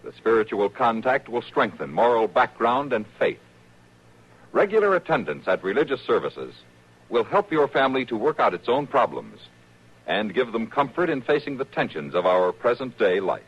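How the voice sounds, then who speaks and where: A man's voice: mid-range voice, big pitch swings, normal speed, husky. An American man delivering scripted narration in a 1950s radio announcing style. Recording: dull vintage radio recording.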